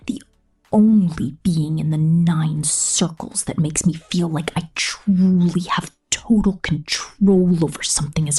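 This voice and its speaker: A woman voicing a possessive succubus pop star in an ASMR roleplay, seductive and menacing, speaking slowly and intimately in breathy whispers. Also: lowish voice, dark tone, heavily acted. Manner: slow, deliberate, intimate.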